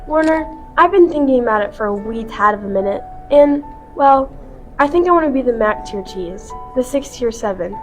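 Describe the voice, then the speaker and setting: Very high, sassy young heroine played by a woman. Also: lively intonation, moderate pace, soft background music, natural voice. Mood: earnest. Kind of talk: student radio play acting.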